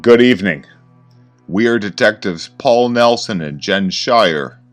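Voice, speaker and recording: A man's voice: fairly low pitch, lively intonation, measured pace, faint music behind, pitched above the performer's own voice. A man playing a tough middle-aged detective who is stern but charming. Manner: stern, gruff.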